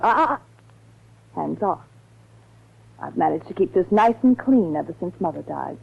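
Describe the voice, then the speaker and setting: Brazen young blackmailer, muffled radio sound. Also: female voice, fairly low pitch, lively intonation, moderate pace, creaky, husky, heavily acted. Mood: mocking. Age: young adult. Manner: taunting, self-assured, sardonic. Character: brazen, cool young female blackmailer, mocking and hard. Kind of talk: 1940s radio acting. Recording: muffled vintage radio broadcast.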